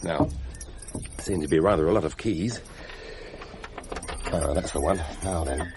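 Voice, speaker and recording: A man's voice: sits low, measured pace, very noisy. An actor playing a proud, jovial middle-aged British father in a radio play, chatty and pleased with himself. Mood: breezy, proud. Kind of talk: radio drama acting.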